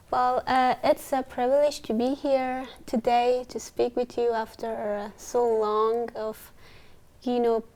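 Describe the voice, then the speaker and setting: A young woman, telling her own story as an interview guest, pausing often with fillers. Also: on the high side, dark tone. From Afghanistan. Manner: hesitant, many fillers. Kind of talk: personal story in conversation.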